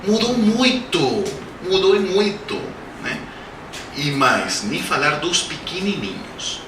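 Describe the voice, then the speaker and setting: Spanish-accented male lecturer, forties, higher-pitched, anecdotal and jokey; roomy, noisy hall recording from a distance. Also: sing-song, takes it slowly. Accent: Spanish-speaking accent in Portuguese. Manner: jokey anecdotes, asks the audience questions. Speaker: guest speaker at a spiritist center. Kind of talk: humorous spiritist lecture. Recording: roomy hall, distant mic, background noise.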